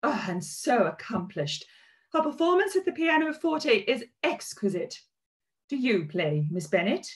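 A woman's voice: a little high, very animated, measured pace. A woman playing an affected, snobbish young society lady in a period drama read over video call. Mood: gushing. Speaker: actor in live read. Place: indoor kitchen at home.

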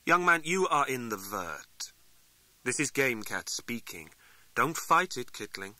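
A man's voice: mid-range voice, very animated, unhurried, pushed and bright, no echo, voice pushed up, heavily acted. A man voicing a suave, scolding older male mentor in an audiobook, arch, courtly and condescending. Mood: insistent, knowing. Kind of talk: audiobook character dialogue.

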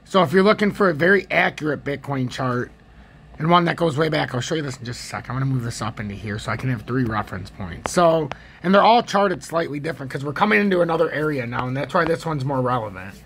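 An American man with a gravelly, husky voice giving casual, rambling, opinionated chart commentary as a trading video host. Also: mid-range voice, expressive, quick pace, fairly bright tone, a little hiss or hum.

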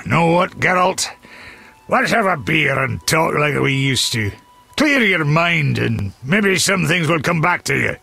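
A gruff, loyal dwarf fighter in a fantasy video game. The voice is raspy and fairly high, with a sharp edge. The talk is hearty, wry and blunt. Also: male voice, expressive, a little slow, heavily acted. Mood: frank, friendly. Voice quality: gravelly, raspy. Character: gruff, hearty male dwarf warrior, loyal old pal.

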